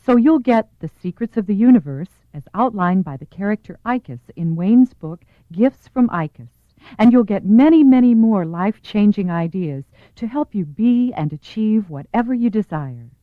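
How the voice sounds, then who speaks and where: A woman's voice: medium pitch, expressive, normal speed. A woman reading scripted promotional narration as the announcer of a recorded program. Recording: tinny, echoey, band-limited audio.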